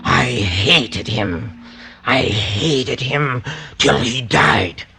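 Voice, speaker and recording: An actor playing a bitter, cold-blooded hired killer who confesses in a brooding, halting way, in vintage radio drama style. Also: male voice, medium pitch, animated, gravelly, pushed and bright, full character voice. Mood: brooding hatred. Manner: brooding, halting, repeats himself with menace. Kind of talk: 1940s-50s radio drama acting. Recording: old radio broadcast with music underneath.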